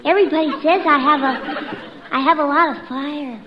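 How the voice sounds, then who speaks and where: A woman's voice: high-pitched, fairly even intonation, a little fast, over a noisy crowd, lightly acted. An actress playing a scrawny, man-hungry, dim young daughter in an old radio comedy, droll and guileless. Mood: dreamy, naive. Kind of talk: 1940s-50s radio comedy acting. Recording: dull noisy old radio, loud audience.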